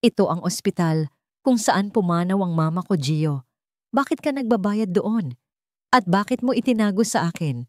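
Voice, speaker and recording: A woman's voice: medium pitch, animated, normal speed, darkish tone, dry recording, studio quality, natural voice. A woman voicing a suspicious, hurt young wife who questions insistently in an audio romance story. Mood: hurt, confrontational. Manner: questioning, pleading, emotional.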